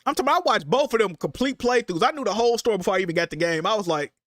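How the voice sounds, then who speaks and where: Congested streamer man, high voice. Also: expressive, racing, a bit bright, no room sound, clean studio sound. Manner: loose slangy banter, swears often. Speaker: streamer host. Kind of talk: casual livestream chat.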